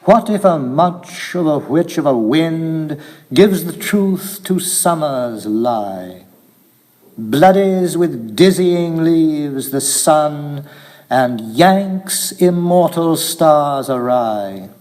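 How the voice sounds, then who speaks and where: Male poet reading verse. Fairly high voice, extremely slow and deliberate. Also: lively intonation, a little mellow. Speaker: poet reading own poem. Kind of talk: poetry recitation.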